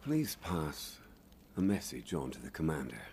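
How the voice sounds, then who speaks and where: An actor playing a solemn, courteous veteran hunter in a video game, speaking formally with a gravelly voice. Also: male voice, very deep voice, big pitch swings, a little mellow, slight background noise, full character voice. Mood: calm, warm. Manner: formal, measured, old-fashioned phrasing. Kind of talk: scripted video game cutscene dialogue. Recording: music under the voice.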